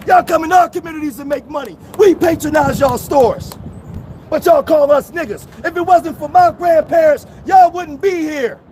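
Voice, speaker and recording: Philly man, protest orator, loud and profane, outdoors with light street noise. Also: extremely high pitch, normal speed. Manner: shouting, emphatic, profane. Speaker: protest speaker. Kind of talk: impassioned street speech, then street chat.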